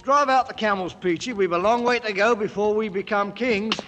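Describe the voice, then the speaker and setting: An actor playing a brash, commanding British ex-soldier in a 1950s radio drama, with a bold theatrical manner and a working-class British accent. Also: male voice, sits high, moderate pace, fairly bright tone. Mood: swaggering. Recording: reverberant, noisy vintage radio broadcast.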